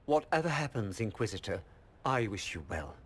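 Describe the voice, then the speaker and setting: A man playing a smooth, diplomatic nobleman in a video game, formal and courteous. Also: on the low side, sing-song, normal speed, slight background noise. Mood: courteous, earnest. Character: courtly male Orlesian duke, smooth diplomat. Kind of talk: video game cutscene acting.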